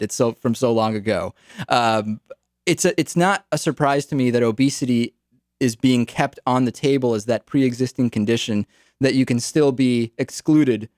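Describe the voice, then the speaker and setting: A man's voice: mid-range voice, a little mellow, no room sound. Young male talk radio host, dry studio. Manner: casual, wry, repeats words.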